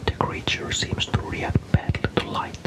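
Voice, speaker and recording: A man whispers a story for ASMR, entirely unvoiced and breathy. He is from Finland and narrates with calm, careful, unhurried phrasing, keeping the delivery soft throughout.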